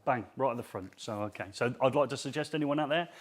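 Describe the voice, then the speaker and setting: A man's voice: mid-range voice, quick pace. A man hosting a live show, chatty and joking, telling stories. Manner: chatty, jokey storyteller. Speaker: host.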